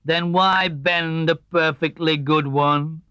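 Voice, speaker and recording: A man's voice: fairly high pitch, fairly even intonation, takes it slowly, sharp tone, smooth, no echo. A man voicing a gloomy, sarcastic old donkey in a children's storybook, drawling ponderously and mournfully. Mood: dry sarcasm.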